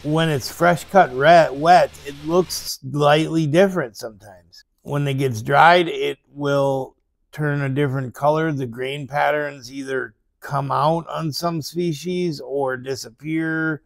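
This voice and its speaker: Thirties guy at his office desk, gravelly, kinda high voice, rambly and self-deprecating, guitar music behind. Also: steady tone, takes it slowly. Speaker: sawmill business owner and vlogger. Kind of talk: casual narration over footage. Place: small wood-paneled office.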